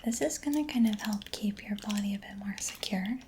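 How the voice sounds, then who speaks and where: A woman speaking in a soft, breathy whisper for an ASMR roleplay, playing a gentle, reassuring mechanic, close to the microphone. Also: mid-range voice, brisk, noisy background.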